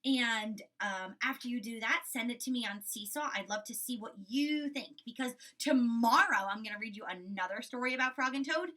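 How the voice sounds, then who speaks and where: A woman teacher reading a story aloud to children and commenting on it, expressive, often checking in with her listeners. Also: fairly high pitch, normal speed, hardly any pauses. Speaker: school teacher.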